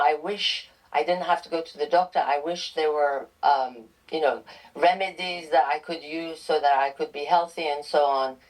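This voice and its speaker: A woman's voice: deep voice, low-quality recording. A woman from Iran, a guest author, answering interview questions thoughtfully with frequent ums. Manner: slow, thoughtful, many ums.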